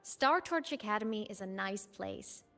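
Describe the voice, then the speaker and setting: A woman voicing a young ghost girl in a video game, a former student who speaks gently, with a wistful and teasing manner. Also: mid-range voice, animated, normal speed, vocal fry, soft background music.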